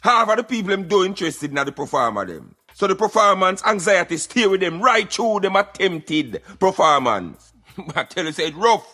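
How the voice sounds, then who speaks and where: A man's voice: high voice, lively intonation, normal speed, bright, forceful tone. A Jamaican man giving opinionated conversational commentary on a radio show, in patois with emphatic interjections.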